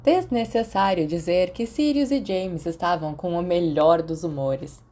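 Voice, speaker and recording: A woman's voice: fairly low pitch, measured pace, mellow. A woman with a Brazilian accent reading scripted fiction as an audiobook narrator. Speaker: podfic narrator.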